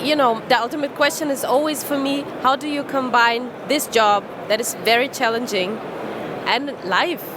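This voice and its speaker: Woman interviewer, friendly and encouraging, stacks questions; slightly high voice, outdoor traffic noise behind. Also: moderate pace. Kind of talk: casual interview. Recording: loud street noise behind.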